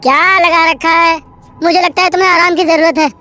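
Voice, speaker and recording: A woman voicing Suresh, a skeptical male ticket clerk who talks loudly and impatiently. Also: very high-pitched, normal speed, faint music behind, pitched above the performer's own voice. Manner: dismissive, loud, impatient.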